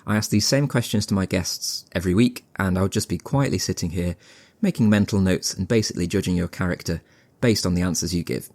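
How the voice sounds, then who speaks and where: A man hosting a podcast, firing off quickfire questions and giving short, agreeing replies. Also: low voice, a little mellow, dry recording. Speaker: podcast host. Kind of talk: casual podcast chat.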